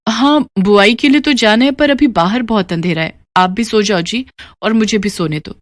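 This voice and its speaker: A woman voicing a mild, reasoning farmer's wife in an animated moral story. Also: mid-range voice, moderate pace, dry recording, clean studio sound, natural voice. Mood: mildly protesting.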